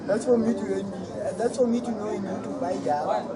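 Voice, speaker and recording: A man's voice: high-pitched, moderate pace. A Zimbabwean man with a Zimbabwean accent, talking in an erratic, boastful way in a rambling street interview. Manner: boastful, erratic, jumps between topics. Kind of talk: rambling street interview, switching between Shona and English. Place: outdoors in a busy urban street. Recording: loud crowd noise outdoors.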